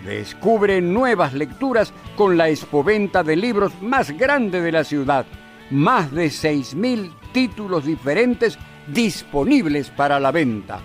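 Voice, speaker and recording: Slightly high-voiced male announcer. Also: big pitch swings, slow pace, soft background music. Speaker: advertisement announcer. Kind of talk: scripted promotional announcement.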